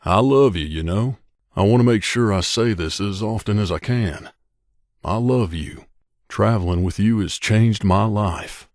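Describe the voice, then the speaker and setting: Video game companion, male actor: devoted wasteland gunman, lowish voice, wry, familiar delivery, very dry close studio sound. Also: measured pace, darkish tone, no echo, close to an everyday voice. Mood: sincere. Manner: wry, casual, addresses boss familiarly. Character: loyal wasteland gunslinger companion man, wry, devoted to his mother.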